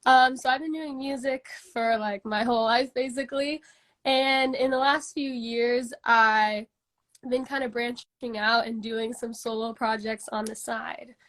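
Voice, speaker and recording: A woman's voice: on the high side, fairly even intonation, unhurried, fairly bright tone. A woman in her twenties with a congested voice, chatting casually as an interview guest, full of "like" and "um" fillers. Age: 20s. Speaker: singer-songwriter guest.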